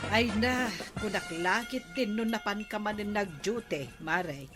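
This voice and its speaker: A woman's voice: medium pitch, measured pace, loud music behind the voice, natural voice. A woman playing a nosy, thrifty village captain's wife in an Ilocano comedy radio drama, conversational and questioning. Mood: chatty, haggling.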